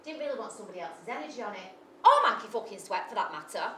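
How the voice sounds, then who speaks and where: A woman's voice: medium pitch, very animated, fast talker, sharp tone, a little hiss or hum. A woman performing a live comic monologue, brash, sweary and self-mocking, full of asides to the audience. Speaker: storyteller. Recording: echoey live venue from far off.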